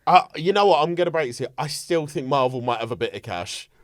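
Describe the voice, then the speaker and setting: A man's voice: a little high, expressive, quick pace, dry recording, studio quality. A young man hosting a podcast, chatting casually and joking around with silly impressions. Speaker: podcast host. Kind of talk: casual chat.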